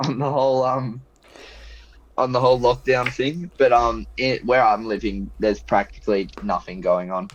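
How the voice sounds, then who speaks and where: A young man with an Australian accent, chatting loosely on a video call through a headset, joking and swearing. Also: mid-range voice. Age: young adult. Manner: loose, profane, joking banter. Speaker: guest. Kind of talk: casual video call chat. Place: small room at home. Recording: dry, dull video call audio.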